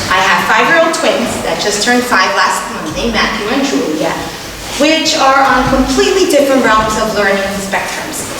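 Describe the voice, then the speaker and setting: A woman in her thirties whose first language is Portuguese, giving a passionate, emphatic school board candidate speech. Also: on the high side. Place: large hall at a debate. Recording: echoey hall from far off, noisy.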